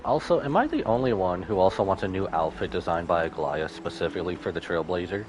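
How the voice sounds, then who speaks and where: A man's voice: low-pitched, animated, fast, noisy background. A man live-streaming casual, jokey reactions to a game, exclaiming and talking back to the characters. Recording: game music behind.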